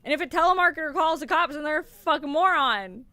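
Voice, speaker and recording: Young woman, voice-actor streamer, mid-low voice with a bright edge, dry close sound, animated casual chat. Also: fast talker, no room sound. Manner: animated and chatty, swears freely. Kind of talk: casual stream chat answering viewer questions.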